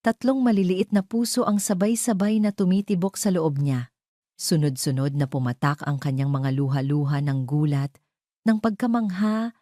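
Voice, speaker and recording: A woman's voice: mid-range voice, mellow, dry recording, studio quality. A woman narrating a scripted dramatic story.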